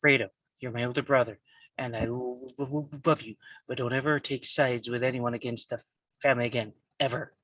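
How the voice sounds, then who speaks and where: Guy doing a calm young mob boss w a heavy stutter, halting delivery, really dry sound. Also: mid-range voice, no echo, lightly acted, poor audio. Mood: stern. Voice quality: stutter on repeated consonants. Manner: halting, deliberate. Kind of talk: live table read of a crime film script.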